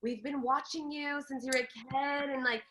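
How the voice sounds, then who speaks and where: A woman's voice: high-pitched, fairly even intonation, moderate pace, fairly bright tone, slight background noise. A young woman from Los Angeles, a podcast guest who spoke Farsi first, chatting casually with lots of fillers and laughs. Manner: chatty, laughs often, many fillers and restarts. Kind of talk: casual chat.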